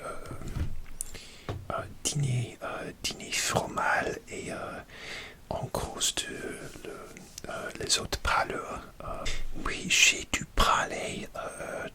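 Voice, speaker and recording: A man whispering a casual ASMR chat, halting and hesitant, often pausing to search for words. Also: pushed and bright. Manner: halting, hesitant, many fillers and restarts; slips in grammar, searches for words. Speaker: ASMR creator.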